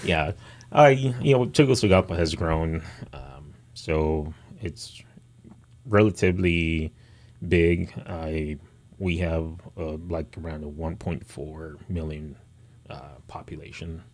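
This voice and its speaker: A man from Honduras, a mission field director, talking as a guest in a warm, hesitant way with many fillers. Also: rumbling low, slow pace. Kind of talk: interview guest.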